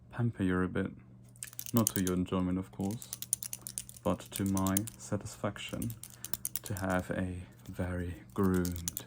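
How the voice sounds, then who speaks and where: Man playing a low, slow, haughty vampire. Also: vocal fry, noisy background, exaggerated voice. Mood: haughty, smug. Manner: soft, slow, deliberate, with whispered asides. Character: haughty, domineering, playful male vampire lord pampering his captive. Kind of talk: ASMR roleplay.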